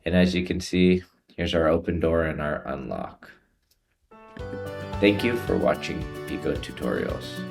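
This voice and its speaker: A man presents a software tutorial, explaining each step in an informal way. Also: deep voice, lively intonation, a little slow, vocal fry, long pauses, music in the background.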